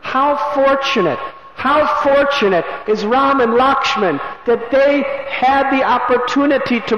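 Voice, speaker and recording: A young prince cries out in grief and asks urgent questions, as a male storyteller voices him with a lifted, strained voice. He speaks slowly, and the sound is echoey and dull. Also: very high-pitched, vocal fry, pushed and bright, slight background noise, voice pushed up, heavily acted. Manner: raised, anguished, urgent questions. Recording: echoey, dull lo-fi room recording.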